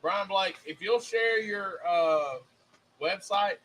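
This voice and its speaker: A man's voice: high voice, slow, noisy background. A man from Mississippi with a Southern accent, co-hosting a casual chat show and telling folksy stories with plenty of laughter. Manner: folksy storyteller, laughs often. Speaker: co-host.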